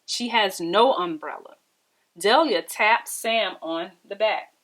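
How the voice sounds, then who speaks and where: A woman reading a children's story aloud in an expressive, deliberate storytelling style. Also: mid-range voice, low-quality recording. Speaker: read-aloud storybook reader.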